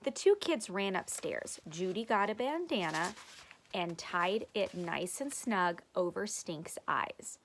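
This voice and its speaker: A woman reading a children's chapter book aloud as a teacher, expressive and deliberate. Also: fairly low pitch, slight background noise.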